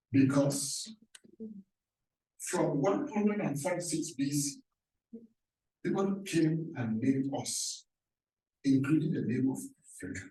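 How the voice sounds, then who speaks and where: A man from Cameroon speaks on a panel, pressing his points with emphatic rhetorical questions. His voice sits a little high. He is picked up from some distance in a roomy, echoing hall. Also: a little mellow. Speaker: professor on a panel. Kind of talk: panel self-introduction. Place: large echoey hall.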